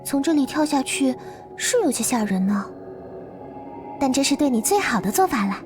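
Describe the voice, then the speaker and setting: A woman playing a mysterious, devoted girl in a visual novel game, speaking in a soft, earnest, coaxing manner. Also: high voice, sing-song, normal speed, music in the background. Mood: gently reassuring. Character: Lilith, mysterious devoted girl from beyond the world.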